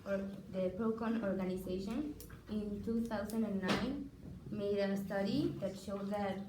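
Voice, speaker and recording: A woman's voice: mid-range voice, a little slow, warm tone. Girl student reading out debate evidence, echoey room, noisy. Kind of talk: citing evidence in a classroom debate. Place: classroom. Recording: echoey room with clear background noise.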